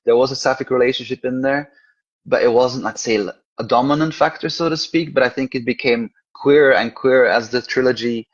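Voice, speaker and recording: A husky man from Europe chats easily as a guest author, laughing often and filling his talk with casual asides. His voice is slightly rough, and the sound is dull and lo-fi, as if from an online call. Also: medium pitch, brisk. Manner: chatty, laughs often, many "like" fillers. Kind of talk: casual interview chat.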